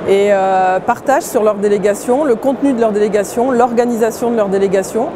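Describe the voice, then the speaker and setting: A middle-aged woman speaks as a mayor in an interview. Her voice sits at a medium pitch for a woman. There is clear urban ambient noise behind her. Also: brisk. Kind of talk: prepared interview answer to camera.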